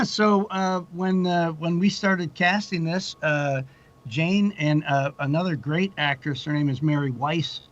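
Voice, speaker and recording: A middle-aged man chatting casually as an interview guest, telling anecdotes and voicing the dialogue in them. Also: mid-range voice. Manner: tells stories by acting out quoted dialogue. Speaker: podcast guest, audio drama producer. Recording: dull, lo-fi call audio.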